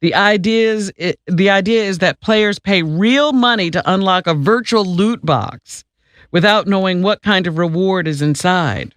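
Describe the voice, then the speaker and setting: Woman, radio news reader and co-host, deliberate emphatic delivery, wry asides, very dry studio sound. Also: mid-range voice, no room sound. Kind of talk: news reading with casual banter.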